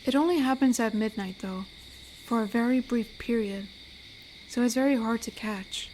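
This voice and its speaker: A woman playing an amiable female werewolf in an intimate roleplay audio drama, gentle and teasing as she speaks softly to the listener. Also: fairly high pitch, moderate pace, a little hiss or hum, natural voice, low-quality recording. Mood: soft storytelling. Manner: gentle, teasing, soft-spoken. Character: amiable, flirty female werewolf, tender forest protector.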